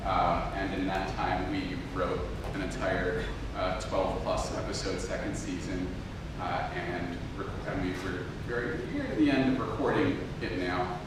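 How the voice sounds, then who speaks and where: Guy doing a casual self-deprecating talk, big echoey room, rumbly noise behind him. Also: fairly even intonation, unhurried, few pauses. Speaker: audio drama producer giving a lecture. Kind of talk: informal talk with audience questions. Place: indoor room with an audience. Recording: very echoey room, distant mic, noisy rumble.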